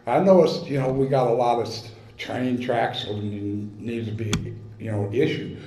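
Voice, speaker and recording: A man's voice: medium pitch, fairly even intonation, mellow, slight background noise. Older male resident, Midwestern American, folksy and rambling at a public hearing, echoey hall podium sound. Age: 60s or older. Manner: folksy, rambling, with halting pauses. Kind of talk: informal public comment speech. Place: large indoor school cafeteria-style hall. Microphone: microphone on podium, recorded in room.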